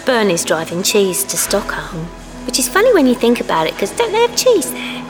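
A woman's voice: medium pitch, huge pitch swings, moderate pace, music in the background. A middle-aged woman playing a chatty, fussing working-class mum in an audio drama, gossipy and breezy. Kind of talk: audio drama monologue acting.

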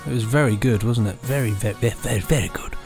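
A man's voice: mid-range voice, fast talker, vocal fry, darkish tone, faint music behind. A man co-hosting a podcast, reading reviews and chatting casually with a jokey manner. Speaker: podcast co-host.

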